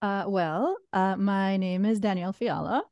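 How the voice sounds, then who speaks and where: A woman from Jamaica with a Jamaican accent, chatting as a guest chef on a podcast, relaxed and quick to laugh. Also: mid-range voice, no echo, lo-fi sound. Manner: relaxed, laughs easily, restarts phrases. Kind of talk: casual podcast chat.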